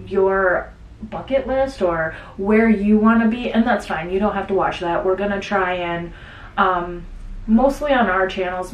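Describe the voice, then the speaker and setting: A chatty woman co-hosting a casual podcast, rambling from one point to the next with a stuffy nose. She sits back from the mic, so her voice carries in a roomy space. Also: mid-range voice. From central Wisconsin. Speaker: podcast co-host. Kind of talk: casual chat. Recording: roomy sound, mic at a distance.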